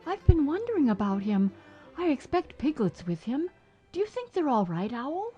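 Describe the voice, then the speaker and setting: A man's voice: very high-pitched, very animated, moderate pace, dark tone, pitched above the performer's own voice. An earnest young boy speaks in a piping, swooping voice far above the reader's own. He sounds breathless and fond, sometimes bossy. The storybook recording is dull, with faint music behind. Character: eager, affectionate young boy.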